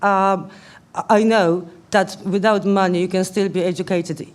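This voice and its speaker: A woman from Poland with a Polish accent answers questions as a panellist at a public debate, in earnest, halting phrases. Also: medium pitch, steady tone. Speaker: political panellist. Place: live public question-time event indoors.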